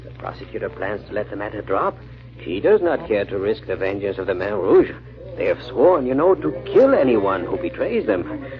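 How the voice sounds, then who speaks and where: An actor playing a fretful, gossipy French innkeeper with hesitant, courteous talk in a 1940s radio drama, on a muffled old recording. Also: male voice, lowish voice, big pitch swings, normal speed, soft background music. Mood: gossipy, resigned.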